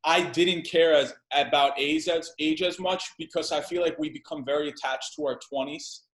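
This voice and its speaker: A man in his thirties with a fairly high voice talks as a podcast guest. He is emphatic and loose, doubling back on phrases and dropping casual curses. The sound bounces around an echoey room. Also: fairly even intonation, moderate pace. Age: 30s. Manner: emphatic, repeats himself, casual swearing. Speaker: guest entrepreneur.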